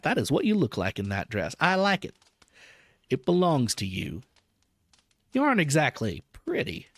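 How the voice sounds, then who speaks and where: A man's voice: medium pitch, no room sound. A man reading the part of an admiring, romantic husband in a storybook, tender and wooing.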